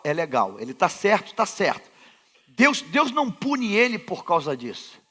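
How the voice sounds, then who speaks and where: A Brazilian man with a Rio de Janeiro accent, preaching with a high, very animated voice that climbs and drops. His tone is bright and forceful. He presses his listeners with rhetorical questions and repeated refrains in a large church sanctuary. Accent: Rio de Janeiro Brazilian Portuguese. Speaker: pastor. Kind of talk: sermon.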